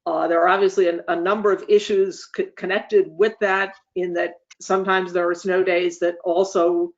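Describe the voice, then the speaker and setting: A speaker hosting an online meeting, giving semi-formal updates in measured, formal wording with frequent hesitant pauses. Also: medium pitch, level tone. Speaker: association leader hosting a meeting. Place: online webinar call. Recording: dull, lo-fi online meeting audio.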